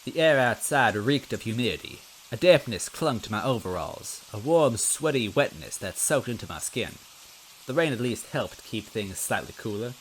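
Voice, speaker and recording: A man giving an expressive, conversational first-person narration as he reads a story aloud. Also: mid-range voice, normal speed, slight background noise, rough recording. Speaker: story reader.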